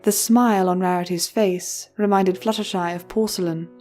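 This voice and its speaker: A woman's voice: on the low side, steady tone, normal speed, dark tone. A woman reading scripted story narration as the narrator of an audio drama. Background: music.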